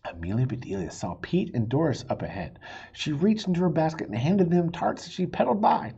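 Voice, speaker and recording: A man reading a children's story aloud in a playful, expressive way, with a husky voice. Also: fairly high pitch, a little fast, dull audio. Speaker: storybook reader.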